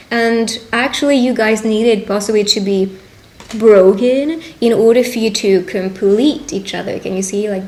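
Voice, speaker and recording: Woman giving an unhurried tarot reading. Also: mid-range voice, darkish tone. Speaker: tarot reader hosting a roleplay. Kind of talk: intimate tarot reading addressed to the listener.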